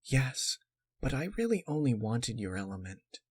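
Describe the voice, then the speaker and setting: A man voicing a scheming young female pony, smug and taunting in a measured way, in a dark storybook reading. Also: medium pitch, sing-song, breathy edge, no room sound. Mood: quiet menace.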